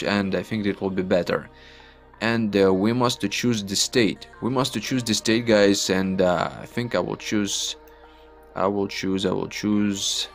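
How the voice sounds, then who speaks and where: Man, 20s, Ukrainian accent, non-native English, chatty, repetitive, screen walkthrough, faint music behind. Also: fairly low pitch. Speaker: online earning vlogger. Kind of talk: casual screen-recorded walkthrough.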